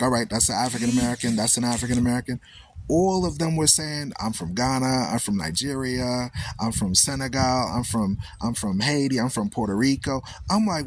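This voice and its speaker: A man's voice: mid-range voice, slight background noise. A man hosting a talk radio show, speaking in a loose, preaching style and asking "right?" after most points. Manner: animated storytelling, repeats "right?" often.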